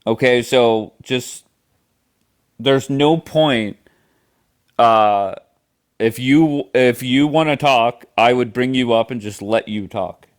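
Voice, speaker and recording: A man hosting a live stream debate, calmly and firmly steering his guests in a measured way. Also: medium pitch, smooth.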